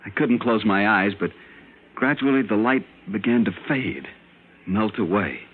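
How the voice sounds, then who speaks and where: A man's voice: on the low side, animated, measured pace, husky. A man playing a frightened lieutenant, telling his strange story intensely in an old-time radio drama. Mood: haunted. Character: frightened military lieutenant recounting a strange captivity, urgent and haunted. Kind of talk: old-time radio drama acting, first-person narration. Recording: noisy, narrow-band old radio recording.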